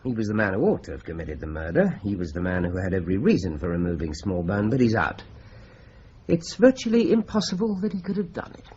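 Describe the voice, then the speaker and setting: An actor playing a dry, understated police inspector in an old radio drama. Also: male voice, lowish voice, wildly swooping pitch, fast, darkish tone, a little hiss or hum. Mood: businesslike. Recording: slightly dull old radio recording.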